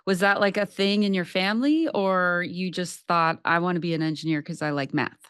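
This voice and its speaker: Friendly woman hosting, clear voice. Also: on the low side, brisk, no room sound, studio quality. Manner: warm, friendly, joking. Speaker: podcast host, water agency general manager. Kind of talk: casual podcast interview.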